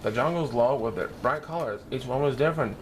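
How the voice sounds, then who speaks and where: A man's voice: mid-range voice, fairly quick. Man reading a children's story, noisy recording. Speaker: storyteller reading a picture book. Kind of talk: read-aloud children's story narration.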